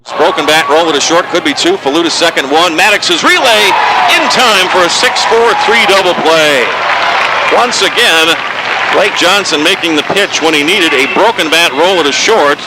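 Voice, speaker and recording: A male radio sports announcer with a fairly high voice delivers live play-by-play. He is given to excited shouts on big plays. The crowd and outdoor stadium noise are clearly audible behind him. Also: normal speed.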